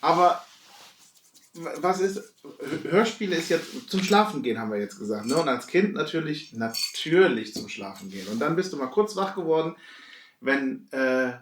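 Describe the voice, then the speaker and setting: A man co-hosting a podcast, chatting casually among friends in a halting way, searching for words. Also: a little high, expressive, a little hiss or hum. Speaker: podcast co-host. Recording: roomy echo, distant from mic.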